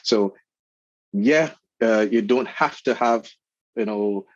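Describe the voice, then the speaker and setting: A man with a Trinidadian accent, a guest in an interview, speaking in an earnest, measured way with fillers. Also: on the low side, no room sound, lo-fi sound. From Trinidad and Tobago. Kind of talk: casual interview chat.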